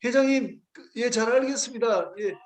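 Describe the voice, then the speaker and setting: Brief: man with a high voice, professor moderating online, polite and encouraging. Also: normal speed, over a noisy crowd. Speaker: host and professor.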